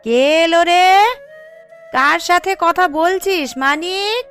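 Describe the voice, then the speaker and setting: A woman playing a sharp-tongued, scheming middle-aged village mother and aunt in a cartoon, bossy and sarcastic. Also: extremely high pitch, slow, soft background music, full character voice. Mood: angry, sarcastic. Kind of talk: Bengali cartoon voice acting.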